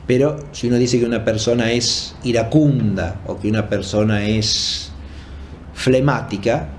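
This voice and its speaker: Guy doing a philosophy lecture, mid-pitched voice, formal and teachy, bit of background noise. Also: darkish tone. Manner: didactic, frequently checks with "¿no?". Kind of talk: unscripted academic lecture.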